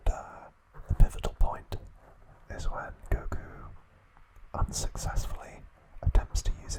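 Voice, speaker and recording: A man narrating trivia as an ASMR host, in a slow, hushed, deliberate whisper with pauses between phrases. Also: rough recording.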